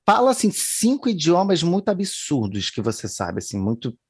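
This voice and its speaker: A man's voice: on the high side, huge pitch swings, normal speed, husky. A man hosting a talk show, theatrical and joking, often apologizing. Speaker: host.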